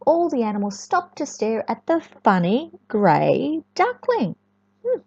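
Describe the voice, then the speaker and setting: A woman reading a storybook to young children in an expressive, sing-song way, often chatting and asking the listener questions. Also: on the high side, measured pace, creaky, slightly rough. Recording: echoey room, dull lo-fi sound.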